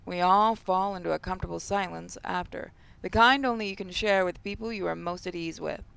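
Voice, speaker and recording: A woman's voice: lowish voice, a little fast, gravelly, fairly bright tone, clean tone, no echo. A woman hosting a game playthrough, reading story narration aloud and adding casual commentary. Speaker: gaming video host.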